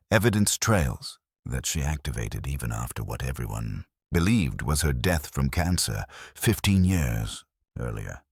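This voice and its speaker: A man reading a scripted dramatic story as narrator, in a measured, solemn manner. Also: very deep voice, dry recording, studio quality.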